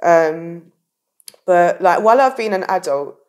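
A woman's voice: lowish voice, lively intonation, a little slow, a little mellow. A young woman from the south of England with a Southern English accent, chatting casually as a podcast guest and laughing often between her words.

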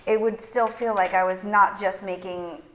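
A woman's voice: medium pitch, fairly even intonation, normal speed. A woman in her thirties chatting and joking as a cooking show co-host, recorded in an echoey, slightly noisy home kitchen. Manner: chatty, jokey. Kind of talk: casual kitchen chat. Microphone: lapel mic. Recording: echoey kitchen with faint noise.

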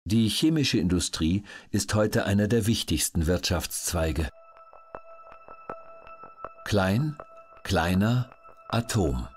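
A man reading scripted documentary narration for an audiobook in a measured, deliberate way. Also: deep voice, lively intonation, a little mellow, faint music behind.

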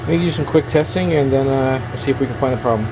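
A man giving casual, halting hands-on repair commentary, thinking aloud as he works in a small workshop. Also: mid-range voice. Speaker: electronics repair hobbyist hosting a video. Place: small indoor workshop at a workbench. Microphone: handheld camera's built-in microphone. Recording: noisy handheld camera sound, steady background hum.

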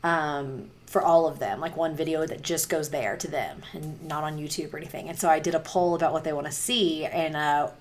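A woman in her thirties with a raspy voice, chatting casually and rambling to camera about a song she is reacting to, recorded on a webcam in a small home room. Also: low-pitched, a little fast, few pauses. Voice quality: raspy voice from singer's nodules. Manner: chatty and rambling, many fillers. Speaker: music reaction vlogger. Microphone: webcam, wearing headphones.

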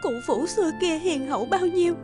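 A woman's voice: very high voice, fairly quick. A woman narrating a folk tale in a scripted storytelling style. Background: background music.

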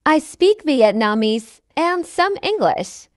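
A woman's voice: sits high, very animated, takes it slowly, no echo, clean studio sound. A woman reading a simple English dialogue for learners, carefully enunciated and repeating each line. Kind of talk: slow scripted dialogue reading.

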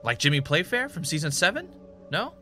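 A man's voice: a little high, very animated, a little fast, sharp tone, faint music behind. An actor playing a joking, evasive male podcaster in naturalistic, bantering audio drama dialogue. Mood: teasing.

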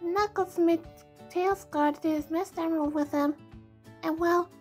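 Lovestruck young hedgehog girl played by a woman, extremely high, slow, girlish and dramatic, music underneath. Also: steady tone. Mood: sad, lonely. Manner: girlish, gushing, theatrical. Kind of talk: amateur comic dub voice acting.

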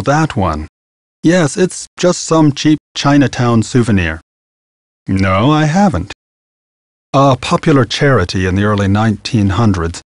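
A pompous, animated antiques dealer. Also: male voice, medium pitch, dry recording, clean studio sound. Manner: formal, precise, aloof, pompous. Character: prim, bookish, courteous male antiques dealer. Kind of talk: video game voice acting.

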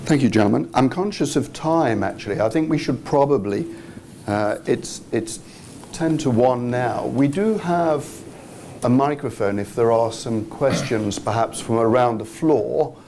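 A man's voice: medium pitch, measured pace, warm tone, a little hiss or hum. A man moderating a conference panel, polite, with brief interjections and invitations for questions.

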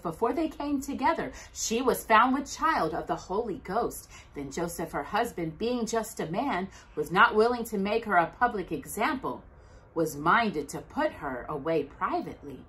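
A middle-aged woman hosting a children's storytime, reading aloud in an expressive, sing-song way to young listeners. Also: medium pitch, normal speed, poor audio. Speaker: storytime host. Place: indoors at home by a brick fireplace.

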